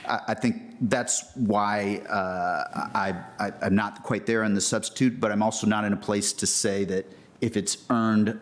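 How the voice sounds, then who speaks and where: An American man on a county board, giving halting remarks at a public hearing and often repeating words as he restarts. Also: fairly low pitch.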